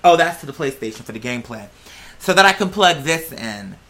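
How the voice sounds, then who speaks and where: Female reaction vlogger. Bright, punchy voice, blunt and exasperated, casual delivery. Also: low-pitched, animated, a little fast. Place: indoors at a computer. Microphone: plug-in computer microphone.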